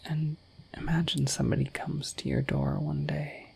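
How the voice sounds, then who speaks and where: A dorky, friendly dragon in human-like shape, played by a woman, speaks in a low, very breathy whisper with a creaky edge. The delivery is gentle and hesitant, a rambling ASMR bedtime roleplay. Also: level tone, moderate pace, heavily acted. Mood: musing, gentle.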